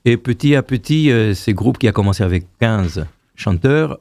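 This is a man in his sixties from Argentina whose first language is Hebrew. He speaks in a gravelly, mellow, fairly low voice and tells his story unhurriedly, restarting phrases as he goes. He is recorded dry and close. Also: lively intonation, normal speed, dry recording. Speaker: choir director, guest. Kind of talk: radio interview.

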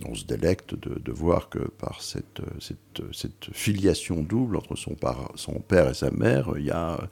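A very deep, heavily gravelly older man speaking as an interview guest. His voice is husky, and he halts often, repeating words while he gathers his thoughts. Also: a little mellow. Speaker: author and music writer.

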